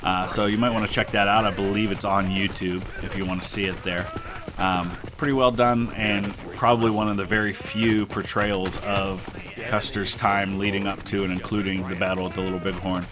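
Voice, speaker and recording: A middle-aged man hosting a gaming channel, with a stuffed-up nose, rambling through a relaxed history commentary full of "uh" and "um". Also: on the low side, normal speed. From Ohio, United States. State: stuffed-up nose from a sinus infection. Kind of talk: casual history commentary over gameplay.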